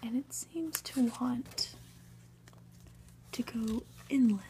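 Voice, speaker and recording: A woman reading a storybook aloud in a deliberate ASMR whisper. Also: on the high side, dark tone, a little hiss or hum. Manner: whispering, slow, deliberate delivery with occasional asides. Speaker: ASMR reader.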